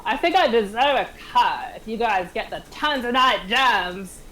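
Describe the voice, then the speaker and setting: A woman's voice: on the high side, expressive, unhurried, a bit bright, a little hiss or hum, rough recording. A woman playing a defiant diner waitress held at gunpoint, in an amateur table reading. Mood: bargaining.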